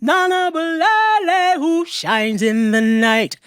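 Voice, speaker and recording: A woman storyteller voicing a brave young village girl who calls out in a slow, half-sung chant. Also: very high voice, dry recording, clean studio sound, faint music behind, pitched above the performer's own voice. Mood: bold, pleading. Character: brave young African village girl calling to water creatures.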